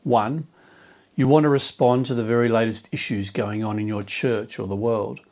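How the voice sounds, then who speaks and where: A man who runs a church media team, delivering a scripted explainer video in a clear, measured way. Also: medium pitch, fairly even intonation, a little mellow.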